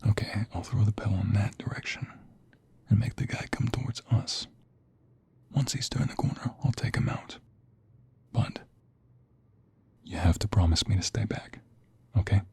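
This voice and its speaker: ASMR roleplay: male actor as tender, protective mobster on the run, intimate and reassuring, dark, gravelly low murmur. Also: moderate pace, pauses often, heavily acted. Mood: hushed, focused. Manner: intimate, hushed, reassuring, addressing the listener. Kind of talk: ASMR roleplay audio drama.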